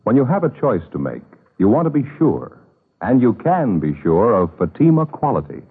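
An American man announcing a scripted 1950s radio commercial in a husky voice. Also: medium pitch, sing-song, normal speed. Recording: tinny old radio recording.

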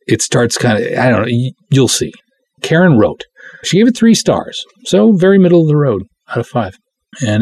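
A man with a fairly low, rough-edged voice hosts a solo audiocast. He chats and reads reviews aloud in a wry way, turning mock-dramatic for the quoted parts. The sound is close and dry. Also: darkish tone, no room sound. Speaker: host, film director.

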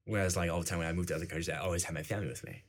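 A man's voice: low voice, steady tone, rapid-fire. A young man, whose first language was Icelandic, being interviewed in a casual chat, filling his talk with "like". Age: young adult. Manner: fills talk with "like".

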